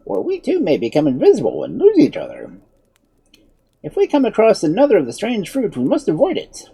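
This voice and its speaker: Narrator putting on a courteous, husky storybook wizard. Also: mid-range voice, huge pitch swings, a little fast, dark tone, pitched above the performer's own voice, exaggerated voice. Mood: warning. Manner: courteous, explanatory. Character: puzzled, polite, cautious male wizard in a fairy tale.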